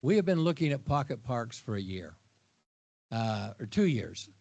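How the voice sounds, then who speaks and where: A man's voice: medium pitch, big pitch swings, moderate pace, gravelly, darkish tone, dull audio. An older man, a grandfather and HOA board member, speaking frankly to homeowners at a town hall meeting, with stammering restarts.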